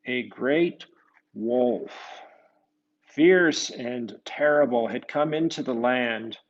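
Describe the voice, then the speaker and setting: A man reading a children's picture book aloud as a priest, with musing, halting asides to his young listeners. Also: mid-range voice, muffled recording. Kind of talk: storybook read-aloud with casual asides to children.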